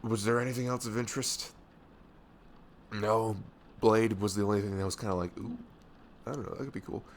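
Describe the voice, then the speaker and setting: A man's voice: fairly low pitch, steady tone, a little fast, pauses often, a little hiss or hum, natural voice. A man playing a young, affectionate, nerdy best friend in an intimate ASMR roleplay, rambling informally with hesitant, self-deprecating asides. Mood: deflated, griping.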